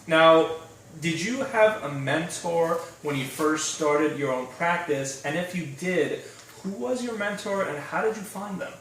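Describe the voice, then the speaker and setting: A man's voice: fairly high pitch, animated, measured pace, fairly bright tone. A man interviewing an attorney, asking friendly questions with frequent um and uh. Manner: friendly, hesitant, many fillers. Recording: roomy, distant mic with faint noise.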